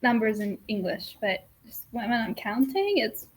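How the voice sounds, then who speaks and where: A woman's voice: fairly high pitch, big pitch swings, moderate pace, rough recording. A woman knitwear designer whose first language is Japanese, answering interview questions thoughtfully and modestly. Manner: thoughtful, modest, gentle laughs. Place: yarn shop with a small gathering.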